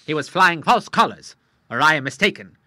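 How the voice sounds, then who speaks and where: A man voicing a blunt, gruff elderly retired admiral in an audiobook, with brisk nautical bluster. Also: on the high side, expressive, pushed and bright, no echo, studio quality. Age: older.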